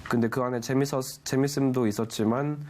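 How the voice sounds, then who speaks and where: A man's voice: medium pitch, fairly even intonation, brisk, a little mellow, slight background noise. A man in his thirties from Jinju, a news anchor telling his life story as a guest on a talk show, earnest and reflective. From Jinju, South Korea. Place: TV studio. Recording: background music under speech.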